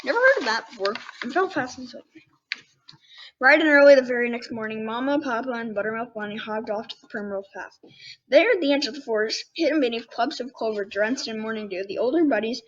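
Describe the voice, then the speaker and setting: A woman's voice: a little high, brisk. A girl of about ten hosting a storybook read-aloud, breaking off for candid, casual asides. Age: child, about 10. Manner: candid, self-interrupting commentary. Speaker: young host reading children's books. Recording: dull lo-fi sound with faint noise.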